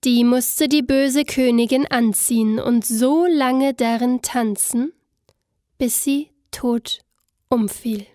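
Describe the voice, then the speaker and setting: A woman's voice: a little high, slow pace, no echo. A woman narrating a scripted fairy tale as a storyteller. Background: soft instrumental music.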